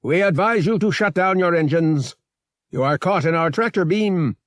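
Casting: man as stern space navy captain, raised pitch, formal, commanding, audiobook villain. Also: moderate pace, dry recording, studio quality, natural voice. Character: stern, officious warship captain.

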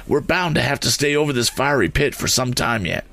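A seasoned balloonist barking urgent orders, as a male reader puts him on. The voice is raised high above the reader's natural low pitch and drives each command with insistence. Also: lively intonation, fairly quick, creaky, a bit bright.

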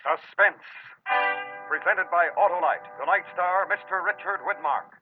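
A man's voice: high-pitched, measured pace. A man dramatically introducing a 1950s radio show as its announcer, on an old radio recording. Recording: tinny old radio recording, loud music.

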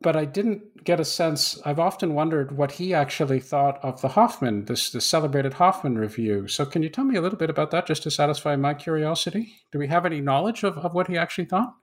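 A man hosting an interview, asking curious, polite questions with some halting restarts. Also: on the high side, animated. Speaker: interviewer host.

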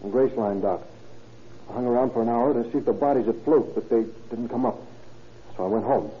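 An actor playing an anxious, talkative young murder suspect in an old radio crime drama, speaking in slangy, earnest pleas. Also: male voice, medium pitch, a little fast, lightly acted. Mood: matter-of-fact confession. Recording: muffled, noisy vintage radio recording.

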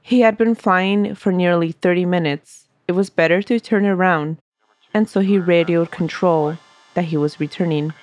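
A woman's voice: lowish voice, moderate pace, no room sound. A woman hosting a history podcast, reading a scripted story aloud while stuffy from a cold. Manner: steady storytelling narration. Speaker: podcast host.

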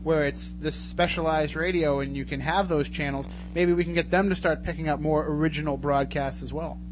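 Higher-voiced male radio host, noisy line. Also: fairly even intonation, fairly quick. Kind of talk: casual talk radio interview. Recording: noisy radio broadcast with hum.

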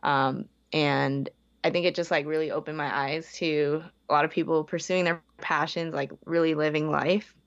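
A woman's voice: sits low, fairly even intonation, normal speed, muffled recording. A young woman from the Bay Area, a podcast guest chatting casually and saying "like" a lot. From San Francisco Bay Area. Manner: chatty, heavy use of "like", frequent self-restarts. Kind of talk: casual interview.

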